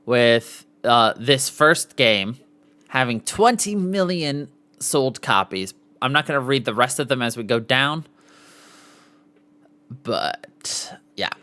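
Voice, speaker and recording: A male podcast host with a medium-pitched, very lively voice that moves up and down a lot. He takes his time, reading aloud in an informal live-show way and tripping now and then over harder words. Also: takes it slowly, smooth. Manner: stumbles over foreign names, sounding them out.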